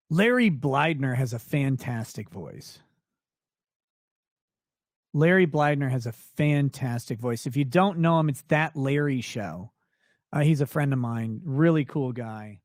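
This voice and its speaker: A man's voice: expressive, takes it slowly, pauses often, no echo, studio quality. A man hosting a casual late-night livestream, reading out and answering viewer questions in a blunt, crude, sweary way. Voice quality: voice not deep. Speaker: livestream host.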